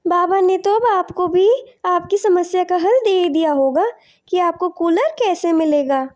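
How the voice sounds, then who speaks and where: A woman voicing a grateful, insistent village mother in an animated moral story, polite and earnest. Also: very high-pitched, a little slow.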